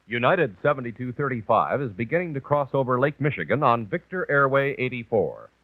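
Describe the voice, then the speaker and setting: A man's voice: mid-range voice, big pitch swings, fairly quick, dry recording. An American man reading scripted documentary narration on an old, muffled 1960s television soundtrack. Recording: muffled 1964 TV kinescope, narrow band.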